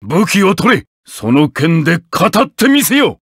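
Stern noble knight from a fighting game, gravelly and slow, solemn and commanding. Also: male voice, high voice, sing-song, no room sound, studio quality, heavily acted. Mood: challenging. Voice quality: gravelly, husky. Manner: solemn, commanding, forceful battle shouts. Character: honorable armored knight, stern, resolute warrior. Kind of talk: Japanese fighting game character voice acting.

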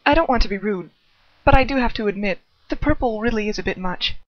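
A woman's voice: medium pitch, lively intonation, fast talker, no room sound, lightly acted. A woman voicing a polite, fussy and mildly snide young man in an amateur anime parody dub. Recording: dull close mic, breath pops.

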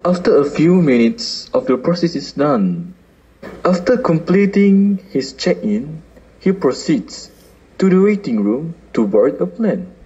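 A man's voice: on the high side, big pitch swings, measured pace, warm tone. A young man with a Malaysian accent, reading scripted narration for a student audio drama. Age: young adult. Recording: dull lo-fi recording.

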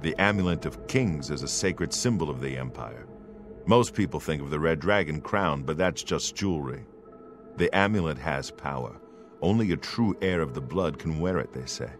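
An actor playing a dutiful imperial bodyguard knight in a fantasy game, grave, earnest and soldierly. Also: male voice, extremely low pitch, animated, normal speed, faint music behind. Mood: earnest, explanatory. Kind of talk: fantasy video game acting.

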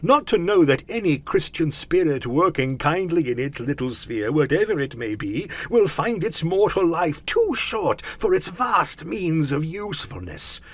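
A man voicing a tormented, mournful ghost in a storybook reading, with slow, drawn-out declamation. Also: fairly low pitch, slightly rough, heavily acted. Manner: slow, drawn-out, declamatory delivery with wailing cries. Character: tormented male ghost, mournful and solemn warning spirit.

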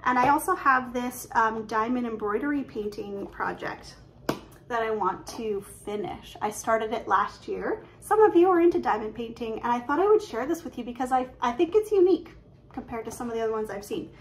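This is a woman in her thirties with a fairly high, bright speaking voice, chatting eagerly to a webcam in her living room. She talks fast from excitement, peppered with fillers and small laughs. A light background hiss sits under the recording. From Canada. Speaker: cross-stitch vlogger. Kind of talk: casual show-and-tell chat. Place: living room at home, indoors. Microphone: webcam at desk distance.

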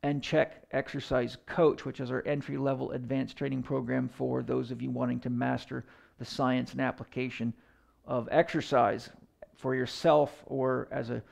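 A man in his fifties teaching as a fitness coach, explaining in a deliberate, emphatic way with pauses. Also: medium pitch, level tone, vocal fry, a little mellow. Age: 50s. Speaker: fitness coach and educator giving a lecture. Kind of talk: explanatory lecture to camera. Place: indoor room in front of a chalkboard.

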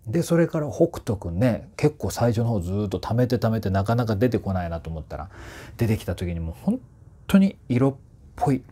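Husky, animated man explaining casually. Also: lowish voice, a little fast. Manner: animated, often sings short phrases to demonstrate. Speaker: voice trainer reviewing singers. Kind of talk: casual explanatory commentary to camera. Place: small indoor room with curtains.

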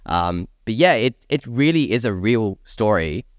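A man's voice: lowish voice, very animated, unhurried, dry recording, clean studio sound. A man talking enthusiastically and emphatically as a panelist in a casual discussion. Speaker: podcast panelist discussing films and games.

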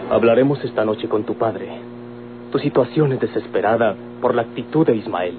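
A man's voice: mid-range voice, normal speed, close to an everyday voice. A man acting in an old Mexican radio drama as a firm, defiant grown son in love, earnest and declamatory. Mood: earnest, resolute. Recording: old tinny radio recording, noisy hum.

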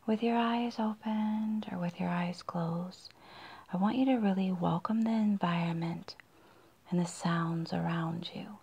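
A woman leading a guided meditation in a soft, breathy, husky near-whisper with long pauses, in a dull, muffled recording. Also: fairly low pitch, fairly even intonation.

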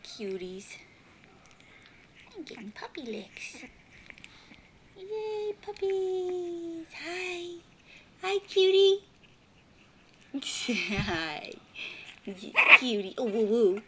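Woman, baby talk to puppies, cooing, whispering, high, swooping pitch, indoors, phone held close. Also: very animated, noisy background. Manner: cooing, slow and sing-song, often whispering. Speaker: dog breeder. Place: indoors at a puppy bed. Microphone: handheld phone held close.

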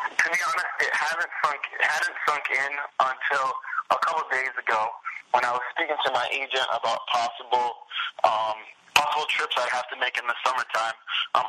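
A young man in his twenties whose first language is French, answering interview questions in an earnest, measured way. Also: mid-range voice, sharp tone, slight background noise, low-quality recording. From Haitian family. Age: 20s. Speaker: football prospect guest.

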